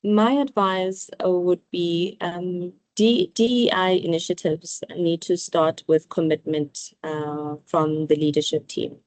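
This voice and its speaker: A woman manager speaks in a corporate interview with a very low, dark and mellow voice. The sound is dry and close. She talks deliberately, pausing on fillers between points. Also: steady tone, dry recording, poor audio. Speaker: rail operating company manager.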